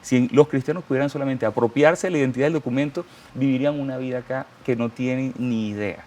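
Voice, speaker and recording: A man's voice: medium pitch, quick pace, darkish tone, slight background noise. A man with a Venezuelan accent, a guest giving a fervent, preacherly testimony and quoting scripture. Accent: Venezuelan Spanish. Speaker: interview guest. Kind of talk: testimony interview.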